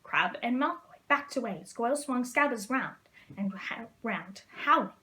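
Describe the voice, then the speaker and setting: High-voiced woman reading a story aloud. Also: animated, normal speed, bright, forceful tone, slight background noise. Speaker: storyteller in character as a witch. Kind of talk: storybook read-aloud narration.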